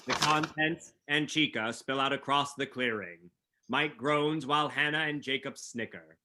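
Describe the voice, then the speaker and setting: A man reads scripted stage directions as narrator. His voice is mid-pitched, with a crisp, bright edge. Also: measured pace.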